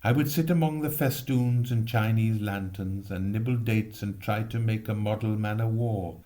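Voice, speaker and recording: Deep, mellow male storyteller. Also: moderate pace. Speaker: reader. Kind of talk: expressive literary storytelling.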